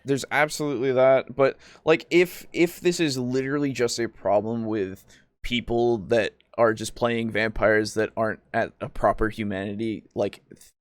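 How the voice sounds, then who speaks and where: Male podcast host, casual discussion, animated delivery, free swearing, dry close recording. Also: medium pitch, moderate pace, no room sound.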